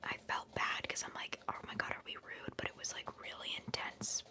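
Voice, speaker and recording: A young woman from the United States whispering for an ASMR video at home, chatting in a rambling, giggly way full of "like" into a close mic. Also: moderate pace. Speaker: ASMR creator. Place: her bedroom at home.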